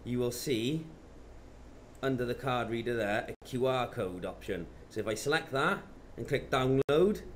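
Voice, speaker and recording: A man, talking matter-of-factly through steps in an informal software tutorial. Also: mid-range voice, unhurried. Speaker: presenter of product tutorials. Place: indoor office. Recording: clear background noise.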